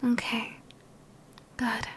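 A young woman in a whispered ASMR girlfriend roleplay, talking softly and affectionately while sick and worn out. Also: drawn-out words, slight background noise.

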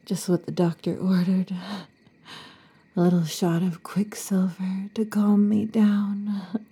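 A woman's voice: mid-range voice, level tone, slow, mellow, slightly rough, heavily acted. A woman voicing a possessive, needy female werewolf lover in a whispered, intimate audio roleplay, murmuring and often whispering.

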